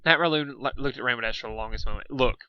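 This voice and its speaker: A man's voice: medium pitch, racing, smooth, dry recording, muffled recording. A man reading fan fiction aloud as an amateur narrator, stumbling over and repeating words now and then.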